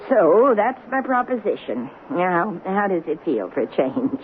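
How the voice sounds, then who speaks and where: An actress playing a serene, shrewd, motherly woman in her eighties in a radio drama, knowing and gently teasing. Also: lowish voice, very animated, a little slow, soft background music. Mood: calm, persuasive. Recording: muffled narrow-band radio broadcast, noisy.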